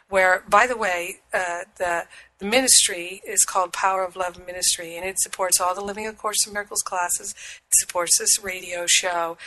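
A middle-aged woman with a husky voice, hosting a spiritual radio show, speaking slowly and soothingly while recovering from a cold. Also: on the low side, bright, forceful tone, low-quality recording. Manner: slow, soothing and affirming. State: recovering from illness. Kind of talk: prayer and spiritual teaching talk.

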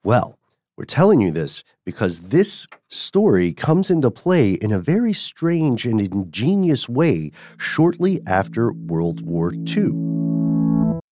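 A man co-hosting a podcast, telling a story in a casual, animated way and often checking in with "right?". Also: slow pace, faint music behind. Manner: animated, rising pitch when excited, frequent "right?" check-ins. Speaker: podcast co-host.

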